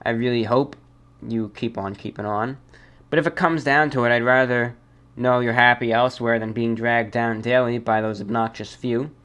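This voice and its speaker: Man hosting a halting, deliberate mail show. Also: mid-range voice, fairly even intonation, a bit bright, clear, resonant tone. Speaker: host of a listener-mail show. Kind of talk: reading listener mail and commenting casually.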